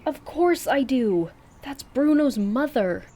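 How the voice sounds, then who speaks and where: A woman's voice: sits high, expressive, slow, warm tone, heavily acted. A woman acting a hurt, hesitant young woman monster hunter in an audio drama. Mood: wary.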